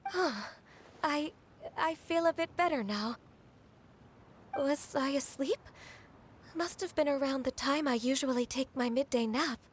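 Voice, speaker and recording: An actress voicing a gentle, drowsy, polite young woman in a video game, soft-spoken and hesitant. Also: sits high, unhurried, long pauses, a little hiss or hum. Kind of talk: video game dub acting. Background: faint background music.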